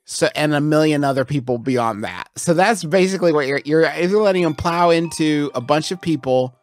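Mock-serious higher-pitched man on a podcast. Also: brisk. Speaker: comedy advice podcast host. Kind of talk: improvised comic banter.

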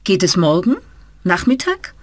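Casting: a cheerful office clerk on the phone, lively intonation, a bit husky. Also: female voice, fairly low pitch, no echo. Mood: friendly. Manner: brisk, friendly phone manner. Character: friendly travel agency clerk on the phone. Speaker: office employee. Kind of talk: scripted textbook dialogue.

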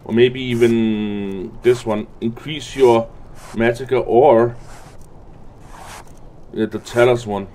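A man's voice: medium pitch, steady tone, slow pace, pauses often, warm tone, slight background noise. A man giving unscripted game commentary, muttering to himself and reading item names aloud. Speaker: gamer recording a let's play.

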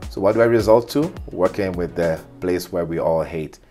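A man speaks in a very low voice as an interview guest. He tells personal stories expressively, acting out the exchanges. Music plays faintly behind him. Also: moderate pace. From Ghana, long life in Kenya. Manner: expressive, acts out quoted exchanges, laughs while talking. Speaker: podcast guest, cyber security specialist. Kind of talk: animated personal storytelling in an interview.

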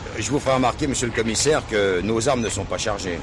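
A man's voice: fairly low pitch, fast talker, lightly acted. An actor playing a cornered male bank robber in a French radio play, wry and resigned. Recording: noisy outdoor drama scene, street ambience.

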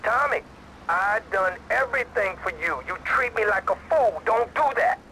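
A man's voice: high voice, animated, moderate pace, sharp tone, slight background noise, full character voice, poor audio. A man voicing a volatile, resentful criminal partner in a video game, whiny and emotional.

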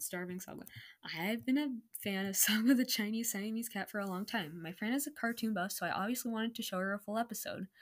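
A young adult woman casually reading her own story aloud with self-mocking, incredulous commentary. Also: medium pitch. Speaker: online reader of own stories.

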